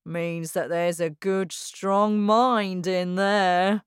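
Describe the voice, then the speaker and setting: A woman reading a children's story, voicing a loud, boastful, self-important father who talks slowly and grandly. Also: fairly even intonation, clean tone, dry recording, clean studio sound. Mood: self-satisfied. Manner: pompous, slow and booming.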